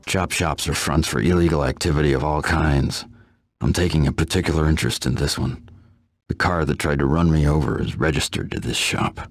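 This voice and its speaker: An actor playing a grim, middle-aged vigilante antihero in a video game, terse and deadpan in a gravelly hush. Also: male voice, extremely low pitch, brisk. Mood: grim, brooding. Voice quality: gravelly, hushed. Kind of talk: noir video game cutscene acting and narration. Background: scary music.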